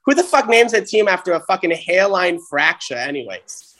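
A man hosting a comedy wrestling show, ranting with heavy profanity and excitement. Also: sits high, moderate pace, a crowd in the background, rough recording. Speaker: host.

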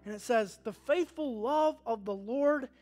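A pastor in his thirties preaching a sermon, animated, swinging from hushed to loud and calling for responses from the congregation. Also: extremely high pitch, unhurried, faint music behind. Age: 30s.

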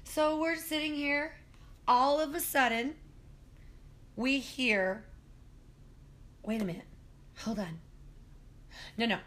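A hoarse middle-aged woman telling a spooky story at her kitchen table, rambling with many ums and self-corrections, recorded on a phone. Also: high-pitched, takes it slowly, pauses often, a bit bright, slight background noise. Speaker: guest storyteller. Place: apartment kitchen at home.

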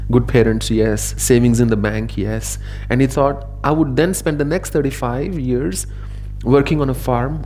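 A man speaking as a spiritual teacher, giving a contemplative discourse with stories and measured pauses for emphasis. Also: mid-range voice, dark tone. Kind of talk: reflective spiritual talk with storytelling.

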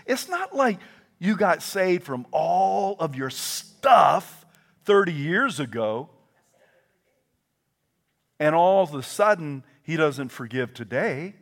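Southern preacher, hush to shout. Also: male voice, a little high, slow pace, gravelly. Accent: American Southern. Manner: swings from hushed asides to shouted proclamation; animated storytelling with quoted voices. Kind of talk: sermon.

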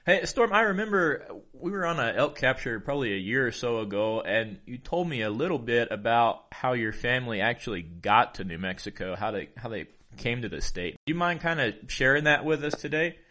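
A man's voice: medium pitch, expressive, fairly quick, hardly any pauses, pushed and bright. A man hosting a podcast interview in an enthusiastic manner. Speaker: podcast host.

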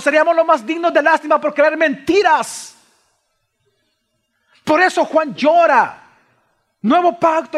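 A man preaching a sermon as a pastor, impassioned and dramatic, swinging between loud cries and hushed lines, with rhetorical questions and repeated phrases. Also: very high-pitched. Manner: rhetorical questions and repetition for emphasis. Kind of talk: sermon, expository Bible preaching.

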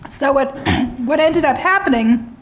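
Woman lecturer clearing her throat. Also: sits high, animated, measured pace, slight background noise, poor audio. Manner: frequent throat clearing, wry asides. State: slightly hoarse, clearing throat. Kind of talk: lecture on academic freedom.

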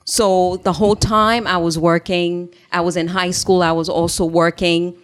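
Low-voiced woman telling her story. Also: flat delivery, clear, resonant tone. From Haiti. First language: Haitian Creole. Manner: earnest, measured storytelling. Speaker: interview guest, business founder.

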